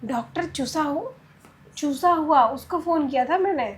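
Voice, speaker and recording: A woman's voice: high voice, normal speed, lightly acted. An actress playing a naive young woman in a PG room who whines and pleads with a doctor about her itch. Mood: pleading.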